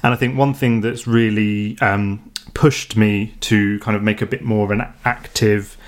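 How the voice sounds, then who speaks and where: A man's voice: lowish voice, steady tone, unhurried. A man chatting on a podcast in a hesitant way, with many ums and restarts. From United Kingdom. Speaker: podcast co-host. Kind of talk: casual podcast chat.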